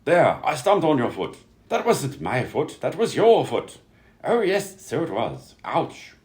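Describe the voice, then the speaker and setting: An actor voicing peevish, officious, bickering conjoined twins in a comedy radio play. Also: male voice, a little high, huge pitch swings, unhurried, background noise. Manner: squabbling, peevish, swinging between two personas.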